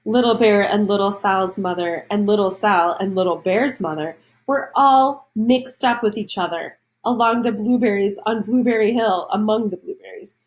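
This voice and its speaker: A woman reads a picture book aloud at a medium pitch. She speaks as a teacher would to small children, breaking off to explain things and ask questions. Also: moderate pace, hardly any pauses. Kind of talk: storybook read-aloud with explanatory asides.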